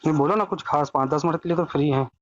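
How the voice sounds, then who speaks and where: A man's voice: fairly high pitch, rapid-fire, slight background noise. A man chatting casually and colloquially on a recorded phone call.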